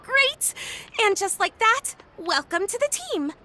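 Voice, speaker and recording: Excitable young female researcher, very high voice, sharp bright tone, eager, dramatic, game dialogue over music. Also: takes it slowly, a little hiss or hum, exaggerated voice. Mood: enthusiastic. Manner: gushing, theatrical sales pitch. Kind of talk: video game character dialogue. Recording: background music under the voice. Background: game background music.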